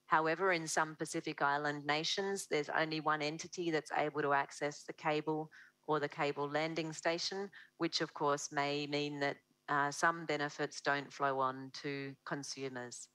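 Woman, 30s; presenting on a webinar call; very low voice, dry sound, measured delivery. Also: flat delivery, fairly bright tone, no echo, poor audio. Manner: measured, explanatory, with a few self-corrections. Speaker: research presenter.

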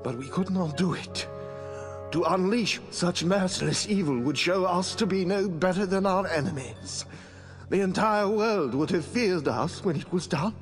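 An actor playing a dying, resigned guild master in an adventure game, speaking solemnly and haltingly in formal words. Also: male voice, sits high, soft background music. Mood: grave, weary. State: weak, dying, coughing. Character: dying elderly glassmaker master, noble and resigned. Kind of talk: 1990s adventure game voice acting.

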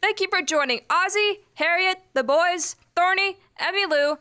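A teenage girl delivers scripted radio announcements in a high voice. Music plays loudly behind her throughout. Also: normal speed. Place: school library room, stage microphone. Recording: loud music under the voice. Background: loud music.

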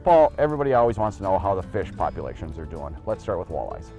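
A man's voice: sits low, sing-song, dark tone, muffled recording, faint music behind. A man interviewing a guest outdoors, posing short prompting questions and naming the guest. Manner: brisk, prompting, addresses the guest by name.

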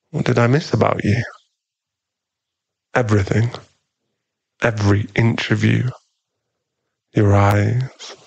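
A man playing a possessive, tender and dangerous mafia boss boyfriend in an intimate, teasing ASMR roleplay, lingering on his pauses. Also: lowish voice, creaky, no echo, low-quality recording. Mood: longing, intimate.

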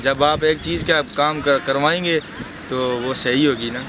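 Young taxi driver, filler-heavy. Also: male voice, on the high side, unhurried. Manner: leans heavily on the filler "jo hai woh". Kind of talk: documentary interview. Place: outdoors near a mosque. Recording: clear outdoor traffic noise.

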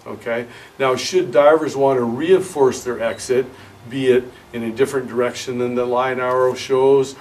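A man in his fifties giving a measured, explanatory product demonstration as a diving instructor, speaking outdoors. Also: mid-range voice, far from the mic. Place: outdoors at a cave mouth by water.

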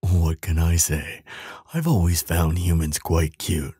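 Man playing a deep, husky gentle giant. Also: fairly even intonation, no echo, clean studio sound, heavily acted. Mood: adoring. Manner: soothing, doting, unhurried. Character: huge gentle male giant, tender and caring. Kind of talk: audio roleplay acting, softly spoken to the listener.

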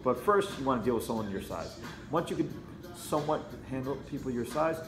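A man's voice: mid-range voice, expressive, brisk. A man speaking casually as a martial arts trainer in an interview, explaining conversationally with plenty of "you know". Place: martial arts gym with mats, indoors. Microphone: camera a few feet away. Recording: echoey room, faint music behind.

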